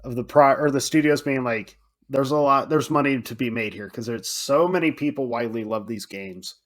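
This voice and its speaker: A man's voice: mid-range voice, normal speed. A man co-hosting a film podcast, talking casually and swearing freely as he acts out his own reactions. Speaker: podcast co-host.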